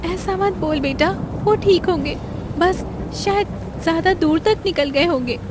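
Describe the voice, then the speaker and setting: Caring village wife, female actor: high voice, gentle concern, cartoon fable. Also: a little slow, background noise. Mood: anxious, soothing. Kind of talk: Hindi moral-story cartoon dialogue.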